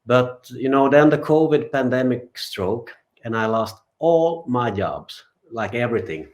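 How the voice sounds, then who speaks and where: A man in his forties from Finland, a guest musician giving relaxed, rambling interview answers. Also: medium pitch, unhurried. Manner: relaxed, rambling, many fillers and restarts.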